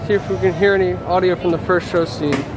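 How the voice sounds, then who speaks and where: A man's voice: high voice, steady tone, fast talker, music in the background, poor audio. A young man chatting casually as he walks a theme park and films with a handheld camera. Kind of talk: casual walking vlog narration. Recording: loud crowd and outdoor noise. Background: crowd and park noise.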